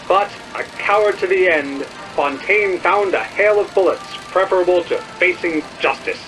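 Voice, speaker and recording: A man's voice: high-pitched, normal speed, fairly bright tone, faint music behind, poor audio. A man playing a slick, self-righteous 1950s propaganda newsreel announcer in a video game, emphatic and rhetorical. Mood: accusing.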